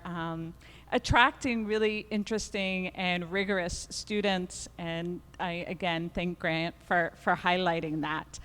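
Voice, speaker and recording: Woman moderator with a loud, carrying voice that trails into a long hall reverb. She talks into a handheld mic in an art gallery and pads her sentences with frequent ums and uhs. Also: mid-range voice, smooth. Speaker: panel moderator. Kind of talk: introducing a panel discussion. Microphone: handheld microphone.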